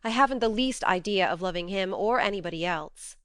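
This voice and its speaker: A woman voicing an earnest, strong-willed young woman who reasons gently but firmly. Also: a little high, normal speed, no echo, clean studio sound, voice pushed up, natural voice. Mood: reasoning.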